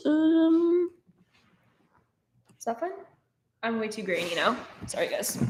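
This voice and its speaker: Young woman, 19, relaxed live-stream Q&A host, chatty with filler words, roomy webcam sound. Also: fairly high pitch, slow pace, a little hiss or hum. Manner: casual, chatty, many fillers and restarts. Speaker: streamer answering chat questions. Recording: roomy echo.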